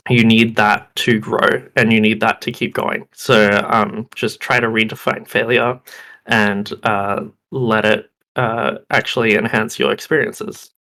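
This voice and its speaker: Hesitant young man, a bit hoarse. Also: mid-range voice, pushed and bright. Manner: hesitant, many ums and restarts. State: hoarse, losing his voice. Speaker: game developer panelist. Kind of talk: presentation talk.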